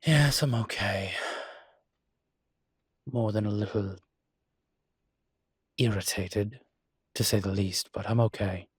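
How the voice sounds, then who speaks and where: A man's voice: lowish voice, slow, long pauses, breathy edge, dry recording, clean studio sound. A man playing a refined incubus demon professor in an intimate audio roleplay, explaining things in a hesitant, genteel and slightly irritated but patient manner. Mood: weary, irritated.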